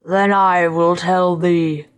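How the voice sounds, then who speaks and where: Storybook role: terrible giant male spirit, voiced by a woman, menacing, imperious, archaic and very slow. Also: fairly low pitch, little pitch movement, smooth. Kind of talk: storybook character voice.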